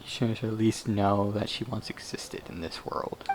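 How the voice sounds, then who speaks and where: A man's voice: fairly low pitch, steady tone, normal speed, noisy background. A man giving casual let's play commentary, musing aloud and sometimes trailing off mid-thought. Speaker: gaming YouTuber. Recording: game music clearly behind the voice.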